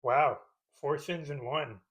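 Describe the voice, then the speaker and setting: A man with a fairly high voice hosts a scripted comedic commentary. He sounds sarcastic and pedantic, picking at each point as he reads. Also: takes it slowly, fairly bright tone, breathy edge, faint music behind. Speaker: host of a movie-criticism parody video.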